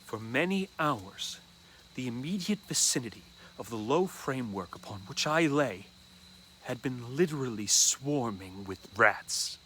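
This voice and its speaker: A man reading a classic horror story aloud as dramatic first-person narration, deliberately and with dramatic pauses. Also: medium pitch, expressive, fairly bright tone, a little hiss or hum, rough recording.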